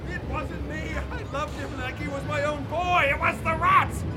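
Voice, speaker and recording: A man acting an older, deranged American gentleman in a radio drama, ranting in slow, melodramatic declamation. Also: very high-pitched, steady tone, over a noisy crowd, very noisy. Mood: desperate pleading. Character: deranged American gentleman descended into raving madness. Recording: loud music over the voice.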